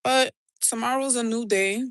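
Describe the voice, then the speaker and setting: Chatty New York woman, bright voice. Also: a little high, moderate pace, no echo. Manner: chatty, swearing, rambling and excitable. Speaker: livestreamer. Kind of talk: casual live-stream chat. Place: outdoor city rooftop. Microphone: handheld phone.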